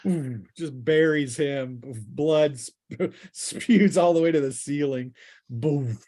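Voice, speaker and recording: A man's voice: a little high, slow, warm tone. A man speaking casually as game master, narrating a tabletop role-playing game with vivid sound-effect words.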